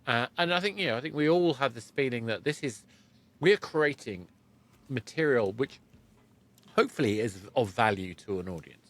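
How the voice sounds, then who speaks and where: Conversational man hosting a podcast, halting delivery. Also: medium pitch, animated. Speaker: podcast host.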